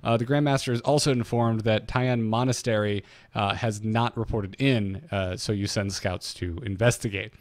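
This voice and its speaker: A man's voice: lowish voice, fairly even intonation, normal speed, hardly any pauses, no room sound. Man co-hosting a casual lore recap podcast. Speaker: podcast co-host. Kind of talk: notes-based game lore recap with casual banter.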